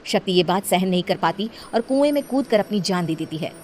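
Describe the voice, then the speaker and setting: A woman storyteller reading scripted narration for an animated tale. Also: mid-range voice, brisk, slight background noise. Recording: music behind the voice.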